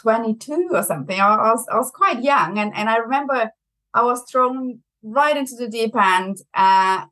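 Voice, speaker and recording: A woman's voice: fairly high pitch, moderate pace, low-quality recording. A young adult woman from Brazil with a German accent, talking as a guest in a conversational interview and laughing often. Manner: laughs often, chatty and lively. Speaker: marketing executive guest.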